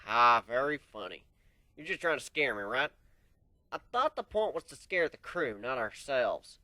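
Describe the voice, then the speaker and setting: A man voicing a brash, swaggering stallion soldier who talks loud and cocky with folksy slang. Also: medium pitch, very animated, moderate pace, no room sound. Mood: scoffing.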